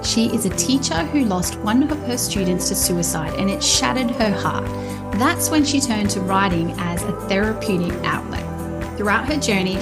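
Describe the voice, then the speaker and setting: A woman, hosting a casual interview podcast in an enthusiastic, chatty way. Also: mid-range voice. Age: 40s. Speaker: podcast host.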